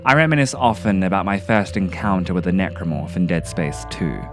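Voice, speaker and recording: Low-voiced man narrating theatrically over music. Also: brisk. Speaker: video essay narrator. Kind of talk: scripted narration, dramatic horror-tinged monologue and video essay.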